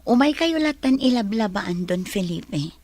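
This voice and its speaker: A woman's voice: mid-range voice, expressive, measured pace, a little mellow, no echo. A woman playing a feisty, scolding but loyal village wife in an Ilocano radio comedy drama. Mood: firm, defensive.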